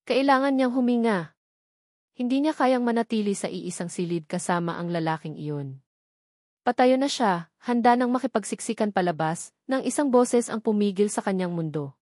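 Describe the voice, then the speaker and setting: A woman narrating a scripted romance drama in an expressive, melodramatic storytelling manner. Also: medium pitch, normal speed, no echo, studio quality.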